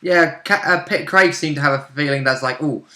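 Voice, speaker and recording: A man's voice: a little high, brisk, sharp tone. A man bantering casually as a tabletop game player, joking and chiming in. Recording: roomy, echoing indoor sound.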